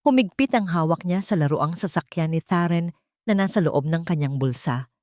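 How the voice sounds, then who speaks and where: A woman giving scripted, melodramatic narration of an audio story in a deliberate way. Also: lowish voice, lively intonation, darkish tone, dry recording, clean studio sound.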